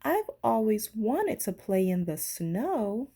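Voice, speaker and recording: A woman reads as a small toy bear from a children's storybook, excitable and wide-eyed. The delivery is slow and soft-edged, with a little creak in it. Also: mid-range voice, pitched above the performer's own voice, exaggerated voice. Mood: excited wonder. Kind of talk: children's storybook read-aloud.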